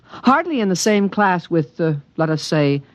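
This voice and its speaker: A man's voice: high-pitched, very animated, measured pace, no echo. A man voicing an alien creature that protects a human, speaking in a precise, matter-of-fact, earnest way, in a 1950s radio drama. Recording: dry studio, background music.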